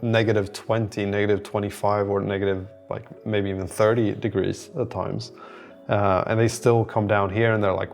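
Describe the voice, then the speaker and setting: A man speaks casually to his vlog audience in a low, gravelly voice. He takes his time and rambles informally, with music playing behind him. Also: a little mellow. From lives in southern Sweden. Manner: rambling, informal, hesitant phrasing. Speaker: host of a startup-building video diary. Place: indoor room beside a window.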